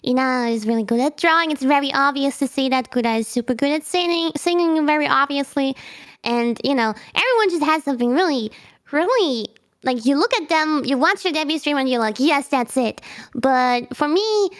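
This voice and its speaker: A woman's voice: high voice, lively intonation, normal speed. A woman whose first language is German, a streamer guest chatting casually on a video call, bubbly and giggly, often restarting her sentences. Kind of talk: casual interview chat.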